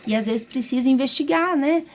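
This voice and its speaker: A woman's voice: high-pitched, a little fast. A Brazilian woman doctor hosting a podcast, talking informally and often ending phrases with tag questions. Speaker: host and immunologist doctor. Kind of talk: conversational interview podcast. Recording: clearly noisy background.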